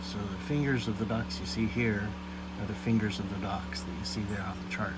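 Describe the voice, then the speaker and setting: A man with a fairly low voice talks through a hands-on job in a casual, explanatory way. His delivery is matter-of-fact, like a vlogger showing his work. Clear background noise runs behind him in the cramped space. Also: level tone, a little fast. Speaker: boat DIY vlogger. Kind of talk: casual how-to narration to camera. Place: cramped interior of a boat in a yard.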